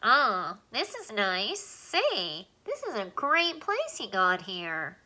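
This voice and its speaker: A woman voicing a tiny, chatty male alien with a high, squeaky voice and a wisecracking manner. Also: huge pitch swings, bright, forceful tone, heavily acted. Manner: fast-talking, wisecracking.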